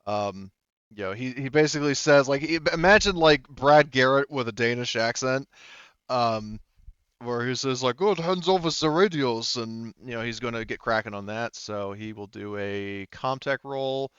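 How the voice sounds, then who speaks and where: A man's voice: medium pitch, moderate pace, fairly bright tone, clear, resonant tone, no room sound, dull audio. A man running a tabletop game as game master, improvising the narration casually in a rambling, hesitant way, thinking aloud.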